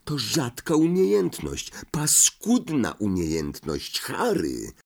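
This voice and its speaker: A man voicing a grave, accusing schoolboy wizard in an audiobook, speaking slowly and with emphasis. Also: mid-range voice, wildly swooping pitch, creaky, heavily acted. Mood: grave, disbelieving. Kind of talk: audiobook character acting.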